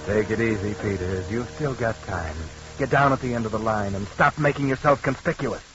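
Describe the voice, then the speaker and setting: Male actor as ominous 1940s radio narrator, terse, taunting, over loud music, muffled vintage sound. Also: fairly low pitch, normal speed, natural voice. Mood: ominous, urgent. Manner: terse, insistent, addressing the character directly.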